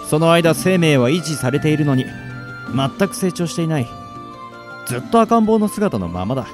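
男性が演じる表情豊かな人工知能の世話役. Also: male voice, a little high. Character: long-lived male artificial caretaker, calm and devoted. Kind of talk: radio drama acting. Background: music.

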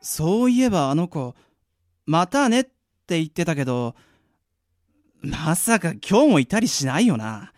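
A man's voice: on the high side, very animated, measured pace, long pauses, darkish tone, no room sound. A man playing a mild, modest young working man in a radio drama, in a hesitant, polite way. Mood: gentle, wondering. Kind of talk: amateur radio drama acting and narration.

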